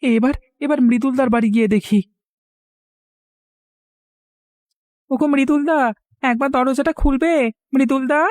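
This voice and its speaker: A woman's voice: high-pitched, moderate pace, darkish tone, no room sound, studio quality, close to an everyday voice. A woman voicing an earnest, caring orphaned village boy in a cartoon, with a pleading way of talking. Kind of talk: Bengali cartoon voice acting.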